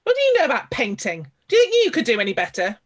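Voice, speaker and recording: A woman's voice: high voice, sing-song, fast, a bit bright, voice pushed up, exaggerated voice. A woman storyteller voicing an indignant male painter who stammers and splutters when his work is criticised. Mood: flustered. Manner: flustered, stammering, outraged.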